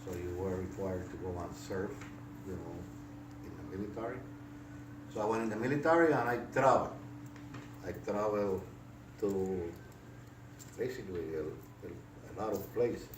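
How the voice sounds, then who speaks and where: A Puerto Rican man in his sixties recalls his life for an interviewer, his voice very low and his talk halting, full of fillers and false starts. He is picked up from a distance in a roomy, echoing space. A clear noise hums behind him. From Puerto Rico. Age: 60s. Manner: halting, many fillers and restarts. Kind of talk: casual life-story interview. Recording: echoey room, distant mic, noisy.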